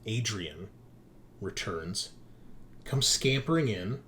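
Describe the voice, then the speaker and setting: A man working as game master, improvising descriptive narration for a tabletop horror game in a halting way. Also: lowish voice, animated, fairly bright tone, slight background noise.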